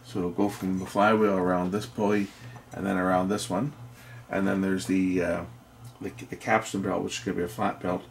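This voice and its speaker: A middle-aged or older man giving casual, unscripted repair commentary, rambling and halting as he thinks aloud. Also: low-pitched, slight background noise. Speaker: electronics repair video host.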